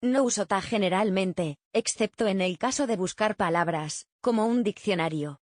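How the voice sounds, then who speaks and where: A woman reading written answers aloud in a plain, steady way. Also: medium pitch, moderate pace, no echo, clean studio sound. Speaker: reader of survey answers. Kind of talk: scripted reading.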